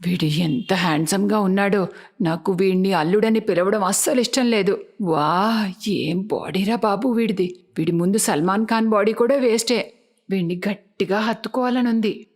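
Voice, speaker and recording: A woman's voice: mid-range voice, moderate pace, pitched below the performer's own voice, lightly acted. Woman voicing a scheming, lovestruck cartoon stepmother. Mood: smitten, scheming.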